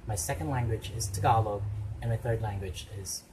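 A man, his Ilonggo, explaining things carefully and then breaking into excited exclamations while reacting to a video through a headset mic in a small room. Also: lowish voice, a little fast, background noise. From Philippines. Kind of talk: casual reaction commentary.

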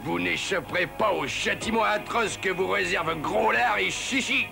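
An actor voicing a boastful, dim cartoon pig playing superhero in a French dub, bragging and excitable. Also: male voice, high voice, moderate pace, gravelly. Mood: theatrical menace. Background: loud music.

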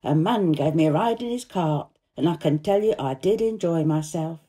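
A woman playing a cheerful, warm-hearted young housemaid who gossips eagerly in broad Yorkshire dialect, in a storybook reading. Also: low-pitched, animated, moderate pace, slightly rough. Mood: happy, excited.

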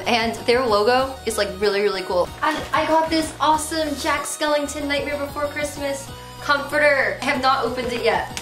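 Young woman rambling bubbly in her bedroom, kinda sick voice, far from the cam mic, roomy echo. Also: fairly high pitch. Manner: bubbly, rambling, lots of "like" and "really". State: sick, voice a bit deep. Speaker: vlogger. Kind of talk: casual haul video. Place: small bedroom with bare walls. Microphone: camera mic from across the room.

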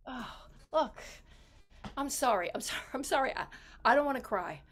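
A woman plays a volatile young newlywed wife in a stage comedy. Her high, sharp voice leaps around in pitch, stubborn and dramatic, with words repeated in emotional bursts. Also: lively intonation, normal speed. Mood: tearful, defiant. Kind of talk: stage comedy scene acting.

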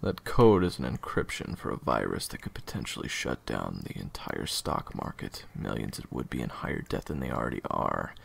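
A man playing a cold, short-tempered assassin interrogator in an audio drama, husky, with clipped, deliberate threats. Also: extremely low pitch, big pitch swings, heavily acted. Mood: demanding. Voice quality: husky, gravelly. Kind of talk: audio roleplay acting.

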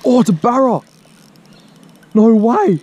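A man's voice: very high voice, very animated, slow pace, dark tone, breathy edge. A young Australian man chatting casually in laid-back slang as he vlogs a fishing trip outdoors. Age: young adult. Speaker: fishing vlogger. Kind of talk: casual vlog chat while fishing. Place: outdoors on beach and creek bank. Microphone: chest-mounted action camera. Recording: noisy outdoor action camera, wind.

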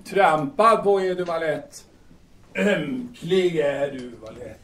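A man's voice: on the high side, fairly bright tone, natural voice. A man in an audio drama playing a cantankerous, drunken bohemian who scorns women, blustering and stammering as he repeats himself. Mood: scornful. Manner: blustering, repetitive, stammering on words. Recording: distant microphone.

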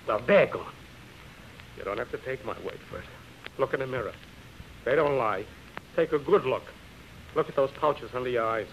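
A man's voice: medium pitch, lively intonation, moderate pace, pauses often, natural voice. A man acting a blunt, exasperated, streetwise theatrical agent in a 1930s radio drama. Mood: scathing. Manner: emphatic, streetwise, colloquial. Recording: muffled, noisy vintage radio recording.

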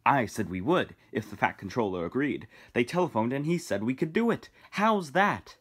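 A man voicing an excited, earnest engine driver explaining things in a storybook reading. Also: medium pitch, moderate pace, breathy edge. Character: excited, brisk working engine driver bringing urgent news.

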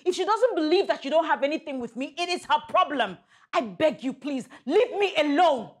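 Outspoken widow with lively pitch. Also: female voice, moderate pace. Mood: indignant. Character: proud, independent, outspoken widow. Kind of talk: naturalistic screen drama acting.

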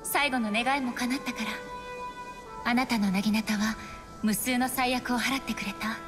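Gentle serene goddess, voiced by a woman, over music. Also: on the high side, steady tone, normal speed, natural voice. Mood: fond farewell. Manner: soft, tender, graceful. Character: gentle, serene departed goddess and loving sister. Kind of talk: anime video game acting. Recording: game music behind the voice.

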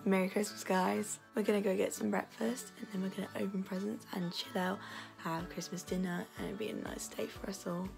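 A young woman with a hoarse, gravelly voice from losing it, chatting casually through a home vlog while filming on her phone at arm's length. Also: mid-range voice, fairly even intonation, fairly quick. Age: young adult. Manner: chatty, narrating as she goes. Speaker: vlogger. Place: home, indoors. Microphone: phone held at arm's length. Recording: music playing over voice.

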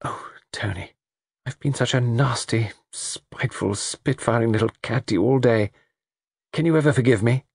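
A man's voice: mid-range voice, normal speed, gravelly, darkish tone, no room sound, clean studio sound, pitched above the performer's own voice. A man voicing a frightened young woman. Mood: remorseful. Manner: breathless, emotional and urgent. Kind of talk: audiobook character reading.

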